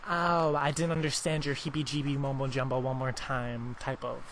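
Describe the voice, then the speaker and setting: A man's voice: mid-range voice, level tone, normal speed, fairly bright tone, slight background noise. A man making a prank call in improvised absurd chat, rambling with feigned confusion. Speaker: prank caller.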